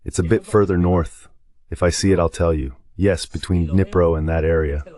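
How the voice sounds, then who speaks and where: Very deep-voiced man giving commentary. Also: steady tone, moderate pace, mellow. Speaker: guest military analyst. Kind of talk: live war commentary read as an AI voice-over translation.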